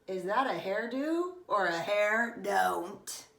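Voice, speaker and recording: A woman voicing a teasing, matter-of-fact schoolboy best friend in a children's storybook, brisk and insistent. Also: medium pitch, lively intonation, gravelly, fairly bright tone, heavily acted. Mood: mocking. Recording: roomy, echoing, distant microphone.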